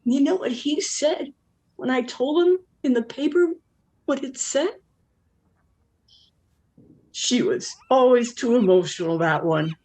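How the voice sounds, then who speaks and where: Woman acting a slow-talking, weary, worldly wife. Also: high voice, dark tone, husky, full character voice, low-quality recording. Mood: bitter, reflective. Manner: deliberate, wistful, blunt. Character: weary, worldly wife and mother escaping to fish. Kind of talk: dramatic monologue in a stage play.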